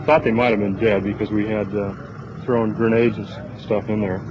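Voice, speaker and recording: A man giving a halting, matter-of-fact eyewitness account outdoors, like a military man reporting. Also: lowish voice, little pitch movement. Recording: dull, noisy outdoor newsreel recording.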